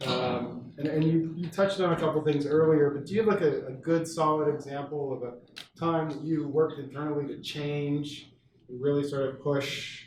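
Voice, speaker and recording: Panel moderator, male, booming projected voice, filler-heavy, slightly higher-pitched, roomy distant sound. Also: fairly even intonation, normal speed, a little hiss or hum. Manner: loud projecting voice with many fillers. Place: large echoey event room, standing. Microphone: handheld mic. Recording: echoey room from far off.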